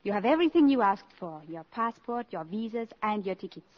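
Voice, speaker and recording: An actress playing a poised, worldly, scheming countess with an elegant manner in a 1950s radio drama. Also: female voice, mid-range voice, very animated, fairly quick, natural voice. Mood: poised, pleased. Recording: muffled 1950s radio broadcast.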